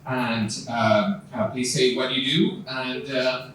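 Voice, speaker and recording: A man moderating a live panel discussion, pausing often with fillers and restarts. He sounds distant from the microphone, and his voice rings around a very echoey room. A faint hiss sits under him. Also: medium pitch, steady tone, fairly bright tone. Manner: hesitant, many fillers and restarts. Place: event venue. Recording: echoey room, far from mic, faint noise.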